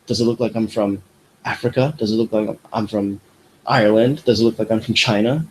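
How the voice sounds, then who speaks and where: Animated young man with low voice. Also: brisk, a little hiss or hum, poor audio. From United States. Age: young adult. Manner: animated, rambling, many fillers. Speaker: podcast host. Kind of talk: casual chat.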